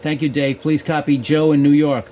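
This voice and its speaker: A man's voice: a little high, flat delivery, normal speed. A man working as an amateur radio operator and host, talking in plain radio-contest style and casual commentary. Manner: spells out call signs phonetically. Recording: noisy, with radio static and hiss.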